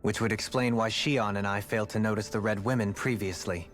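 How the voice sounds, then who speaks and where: A man's voice: lowish voice, steady tone, brisk, a bit bright, natural voice. An actor voicing a stern, skeptical young male warrior in a game. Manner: curt, skeptical. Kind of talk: video game voice acting. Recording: game audio with faint music.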